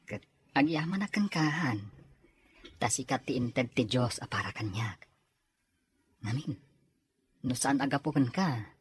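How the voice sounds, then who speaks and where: A man playing a devoted, patient working husband in a radio drama, with a husky voice. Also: medium pitch, lively intonation, measured pace, long pauses, a little hiss or hum, close to an everyday voice. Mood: tender.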